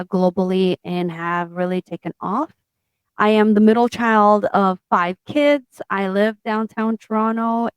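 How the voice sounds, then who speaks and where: A woman in her forties, a tech founder from Portugal, telling her story in an interview in a steady, matter-of-fact way. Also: mid-range voice, clear, resonant tone, dry recording, rough recording. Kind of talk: interview conversation.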